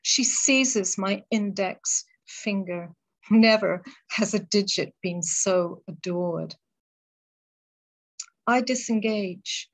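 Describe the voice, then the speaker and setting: Woman reading her poems real slow, careful and measured, pauses between phrases, middle-pitched. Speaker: poet. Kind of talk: poetry reading.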